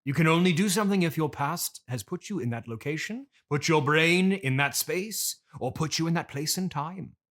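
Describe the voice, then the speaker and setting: A man's voice: a little high, lively intonation, normal speed, few pauses, a bit bright. A man playing a pompous, melodramatic classically trained stage actor, bitter and theatrical, as a guest in a comedy interview. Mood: insistent, pompous. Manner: theatrical, haughty, swinging into pleading outbursts.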